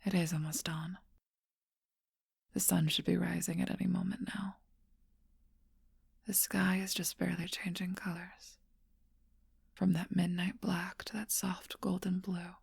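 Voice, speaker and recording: A seductive, ageless vampire voiced by a woman murmurs in a low, gravelly whisper close to the microphone. Her breath-heavy voice barely rises or falls, keeping a slow, teasing, possessive intimacy, like a storybook creature in an ASMR role-play. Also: flat delivery, darkish tone, dry recording, heavily acted. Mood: wistful.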